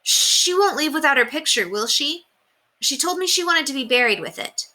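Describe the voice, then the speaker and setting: Storybook boy, ten, earnest and pleading, played by a woman; high, bright, emphatic. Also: expressive, moderate pace, low-quality recording. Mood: anxious, pleading. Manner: emphatic, childlike delivery. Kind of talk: children's storybook read-aloud.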